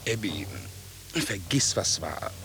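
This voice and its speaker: An actor playing a proud, guilt-ridden Puritan farmer in a 1950s German radio drama, brooding and given to stern commands. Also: male voice, low-pitched, animated, slow, creaky, sharp tone, heavily acted. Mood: guarded, troubled. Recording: noisy 1950s radio recording.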